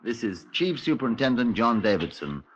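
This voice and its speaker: An actor playing a polite, composed Scotland Yard superintendent with a British accent in a 1950s radio drama, speaking in a measured, understated way. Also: male voice, mid-range voice, big pitch swings, a bit bright, slightly rough. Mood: courteous, composed. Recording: muffled vintage 1950s radio recording.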